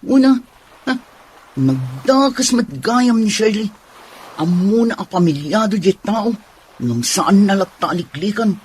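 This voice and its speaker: A man playing a chatty, forthright, soft-hearted landlord and neighbour in a radio drama, with a husky voice. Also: high voice, animated, unhurried, a little hiss or hum, rough recording. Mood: frank.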